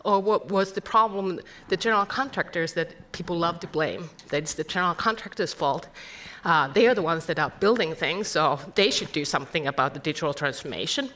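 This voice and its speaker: A woman's voice: lowish voice, fairly quick, pushed and bright. A middle-aged woman from Finland with a Finnish accent, giving an earnest conference presentation full of rhetorical questions. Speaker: conference speaker.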